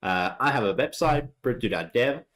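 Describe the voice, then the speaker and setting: A man's voice: medium pitch, expressive, moderate pace, fairly bright tone. A man from Finland with a Finnish accent, giving a technical conference talk in a friendly, explaining way with many "uh" fillers. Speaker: conference speaker.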